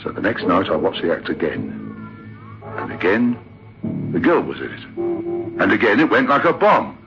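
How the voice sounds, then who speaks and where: An actor playing a rattled, sceptical theatre manager in a radio drama, emphatic and repeating himself in agitation. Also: male voice, lowish voice, huge pitch swings, normal speed, vocal fry, exaggerated voice. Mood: shaken, bewildered. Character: rattled, sceptical theatre manager telling his uncanny tale. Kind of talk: radio drama acting and first-person storytelling. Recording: muffled old radio recording with music.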